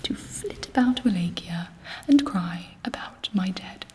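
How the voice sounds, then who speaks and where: An imperious vampire queen, played by a woman in an ASMR roleplay, speaking in hushed whispers at a very slow pace. She is haughty and sardonic, shaping formal phrases with seductive, scheming deliberation. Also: on the low side, lively intonation.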